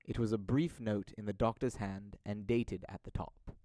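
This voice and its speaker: A young man narrates an audio drama from a script. His voice is fairly low. The recording is very dry, with no room around it. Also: fairly even intonation, moderate pace, dark tone, dry recording. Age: young adult.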